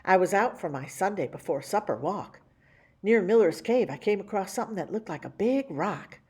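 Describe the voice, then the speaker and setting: Animated woman reading a children's story, slightly husky. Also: mid-range voice, moderate pace. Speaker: storytime reader. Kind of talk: expressive read-aloud of a children's picture book.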